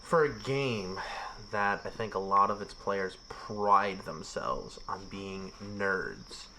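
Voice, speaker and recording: A man's voice: low voice, expressive, takes it slowly, vocal fry, bright, forceful tone, slight background noise, low-quality recording. A man talking in a casual podcast chat, ranting with emphasis and frequent swearing. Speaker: podcast guest.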